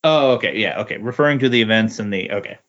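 A man chatting casually as a podcast co-host, tentative and halting as he reacts. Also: fairly low pitch, fairly bright tone. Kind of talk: casual chat. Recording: dull lo-fi voice recording.

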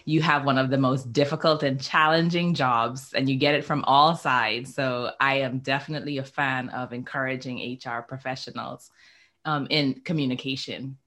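A woman from the Bahamas speaks as a podcast guest with a low voice. She is upbeat and effusive and slips in playful jokes. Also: steady tone, moderate pace, a bit bright. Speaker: guest, communications coach. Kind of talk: podcast interview.

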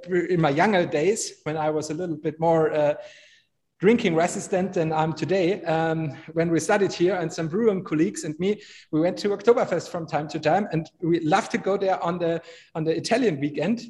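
High-voiced Austrian brewer, reverberant. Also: male voice, darkish tone. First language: German. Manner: chatty, laughs often, many fillers. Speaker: brewer guest. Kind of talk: casual storytelling.

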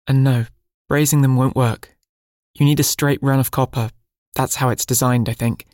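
A man's voice: medium pitch, steady tone, normal speed, darkish tone, no room sound, clean studio sound, pitched above the performer's own voice, lightly acted. A man doing a wary young salvager, lifted voice. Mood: cautious. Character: young male ship salvager, wary, in pain.

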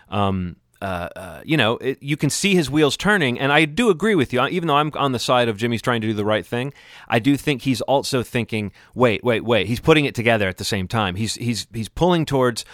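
A man's voice: mid-range voice, fairly bright tone, dry recording, clean studio sound. A man co-hosting a podcast, talking through a TV episode in a casual, rambling, analytical way. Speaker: podcast co-host. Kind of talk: casual TV recap discussion.

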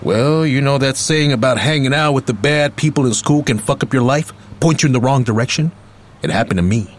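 An actor playing a streetwise, middle-aged ex-convict uncle in a radio play, rambling, candid and affectionate. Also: male voice, medium pitch, fairly quick, lightly acted. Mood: candid, reflective. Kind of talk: naturalistic radio play acting.

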